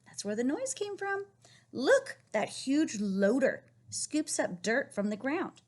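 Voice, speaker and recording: A woman reading a picture book aloud to young children, encouraging and questioning them in an animated way with a husky, breathy voice. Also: sits high, takes it slowly. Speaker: read-aloud storyteller teaching young children.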